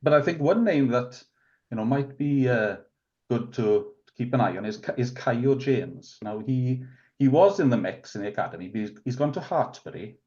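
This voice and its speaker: A man in his forties with a Welsh accent, Welsh as his first language, talking in a relaxed, rambling way as a guest on a rugby podcast, full of restarts and fillers. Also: mid-range voice, normal speed, muffled recording. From Wales. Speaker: rugby club committee member, guest. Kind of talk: casual podcast interview.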